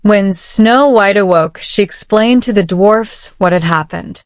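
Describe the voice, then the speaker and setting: Slow, measured woman reading a children's story. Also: mid-range voice, clear, resonant tone, no room sound, clean studio sound. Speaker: storybook narrator. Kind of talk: children's read-aloud storytelling. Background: soft music.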